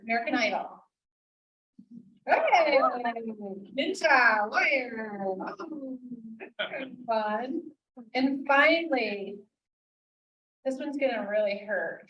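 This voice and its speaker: An upbeat woman hosts a live event and fires quick questions at her guests. Her voice sits on the higher side. She is heard from a distance in a roomy space. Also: a bit bright, lo-fi sound. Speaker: host of an author event. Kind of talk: casual live event moderating.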